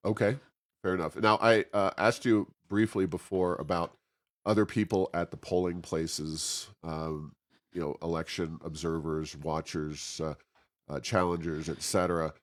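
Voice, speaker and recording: Male interviewer, deep voice, hesitant phrasing, conversational podcast questioning. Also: fairly even intonation, measured pace.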